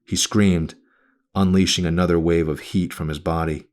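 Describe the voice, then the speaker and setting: A man reading a novel aloud as its author, in a brooding, dramatic narration style with deliberate phrasing. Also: low-pitched, fairly even intonation, darkish tone.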